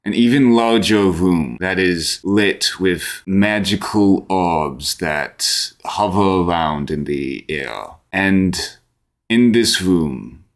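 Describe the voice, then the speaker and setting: A man narrating a tabletop fantasy game as game master, deliberate and descriptive. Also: low voice.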